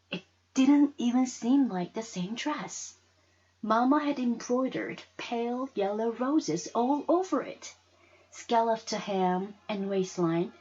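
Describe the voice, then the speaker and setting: A woman reading a story aloud with expressive narration. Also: medium pitch, husky, muffled recording. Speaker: story reader.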